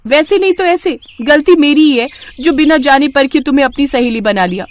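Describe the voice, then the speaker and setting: A woman's voice: high voice, brisk, pitched above the performer's own voice, close to an everyday voice. A woman voicing a naive, loyal young village bride in melodramatic, emphatic story dialogue. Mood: alarmed, angry. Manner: emphatic, expressive.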